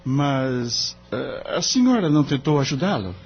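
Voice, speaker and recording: A man's voice: medium pitch, very animated, measured pace, breathy edge, exaggerated voice. A Brazilian man playing a young, idealistic novice lawyer in an audio drama, polite, earnest and hesitant. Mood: gentle, probing. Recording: dull recording with faint music bed.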